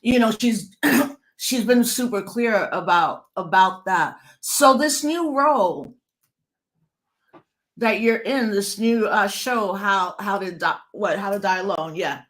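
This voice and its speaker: A woman's voice: mid-range voice, slow pace, a bit bright, a little hiss or hum. Affirming woman host, casual interview. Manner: affirming, conversational, clears throat often.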